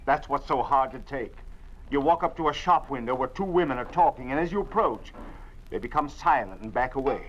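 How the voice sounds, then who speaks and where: An actor playing an anxious middle-aged father in a 1950s radio drama, earnest and halting, often repeating words. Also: mid-range voice, expressive, slight background noise, close to an everyday voice. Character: worried middle-aged family father under a regime. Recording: dull old 1950s radio recording.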